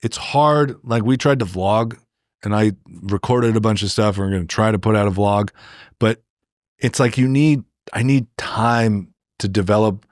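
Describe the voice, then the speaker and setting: A man's voice: on the low side, measured pace, dry recording, studio quality. An American man chatting casually as a podcast co-host, rambling through opinions and anecdotes and acting out imagined remarks. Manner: rambling, filler-heavy, acts out imagined remarks.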